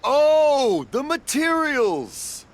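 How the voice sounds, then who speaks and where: A man's voice: very high voice, very animated, extremely slow, heavy background noise, exaggerated voice. An actor voicing a cheerful, rambling old father who is hard of hearing, in a video game. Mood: confused, cheerful. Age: older. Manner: rambling, cheerful, easily confused storyteller.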